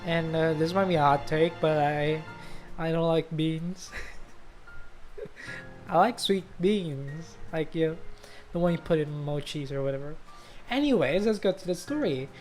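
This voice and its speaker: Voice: medium pitch, unhurried, pauses often, darkish tone. A streamer giving casual, sweary game commentary and reading the story text aloud in a playful way. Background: faint game music.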